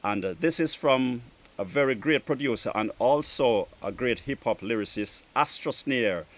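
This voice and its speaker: A man's voice: mid-range voice, lively intonation. Male DJ host talking outdoors between tracks. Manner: drawn-out phrases, friendly shout-outs to artists. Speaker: DJ and show host. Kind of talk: casual music show presenting between tracks. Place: outdoors in a garden.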